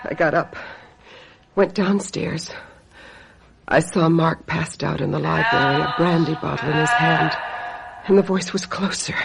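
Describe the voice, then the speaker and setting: An actress playing a vengeful, scheming woman who calls herself a witch, with deliberate, mock-sweet menace in an old-time radio mystery style. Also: low-pitched, vocal fry, a crowd in the background, low-quality recording. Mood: haunted, intense.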